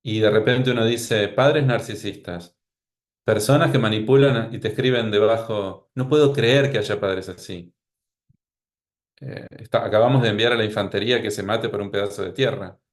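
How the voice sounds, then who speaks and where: A man's voice: on the low side, normal speed. A man with a Rioplatense Spanish accent, speaking as a psychologist guest in a podcast interview, with a wry, anecdotal way of talking.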